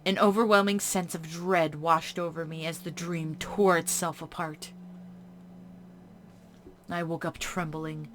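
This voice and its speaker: A woman playing a horror visual novel, reading its text in a hush and breaking off into casual reactions. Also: low voice, level tone, measured pace, long pauses, a little hiss or hum. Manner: hushed reading broken by chatty reactions. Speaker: game streamer. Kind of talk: reading a game's text aloud with casual commentary.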